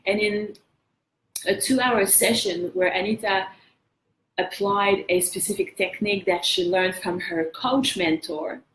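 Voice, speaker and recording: A woman's voice: medium pitch, echoey room, a little hiss or hum, poor audio. A woman with a French accent hosting a live coaching session, talking earnestly and encouraging viewers to share their feedback. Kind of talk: motivational live-stream talk.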